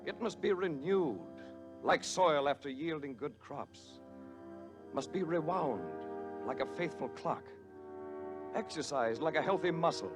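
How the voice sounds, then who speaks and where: A man's voice: a little high, expressive, measured pace. A man playing a solemn, stately orator in a scripted reading. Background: instrumental music.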